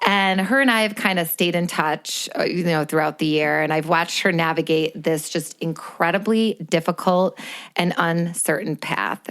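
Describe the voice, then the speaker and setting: A woman with a somewhat low voice co-hosts a casual podcast. She tells the story with animation and laughs easily along the way. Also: normal speed, a bit bright. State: voice sometimes raspy from reflux. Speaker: podcast co-host.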